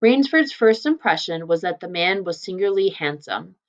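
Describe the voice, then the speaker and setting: A woman with a slightly low voice reads a story aloud as a teacher would. She adds informal, explanatory commentary as she goes. The recording is a little dull and lo-fi. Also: expressive, moderate pace. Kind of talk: read-aloud lesson with casual explanatory commentary.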